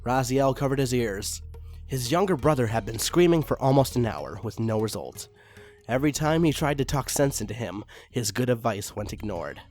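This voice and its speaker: A man's voice: medium pitch, a little mellow. A man reading fan fiction aloud as a dramatic story narrator.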